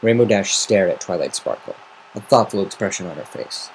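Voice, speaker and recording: A man with a lowish voice narrates a story in a measured, expressive way. It is an amateur semi-dramatic reading, recorded at home with some faint noise behind it. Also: creaky, low-quality recording. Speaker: amateur reader of fan fiction.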